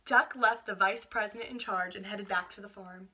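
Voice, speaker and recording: A woman reading a picture book aloud to children as a teacher, expressive, with explanatory asides and questions for young listeners. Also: mid-range voice, normal speed, slightly rough.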